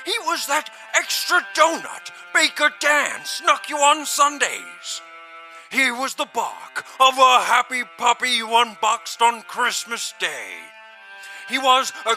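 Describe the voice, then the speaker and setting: A man playing a solemn, folksy small-town storyteller in a comedy audio drama, speaking with mock gravity and dramatic pauses. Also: very high-pitched, big pitch swings, music in the background, full character voice, rough recording.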